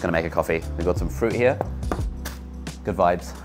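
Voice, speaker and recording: A man talking casually to camera in a vlog, chatty and quick, with lots of "like" as filler. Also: sits low, music in the background. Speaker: vlogger and podcast host.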